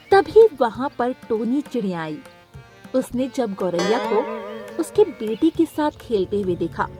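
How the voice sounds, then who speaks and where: Female storyteller: high, animated delivery of scripted children's narration over music. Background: background music.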